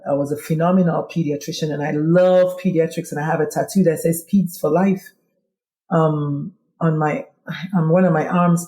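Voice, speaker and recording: Nigerian woman with a mellow voice. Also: low-pitched, echoey room, poor audio. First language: Igbo. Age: middle-aged. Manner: animated, quoting herself in dialogue. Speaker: guest. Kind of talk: casual interview storytelling.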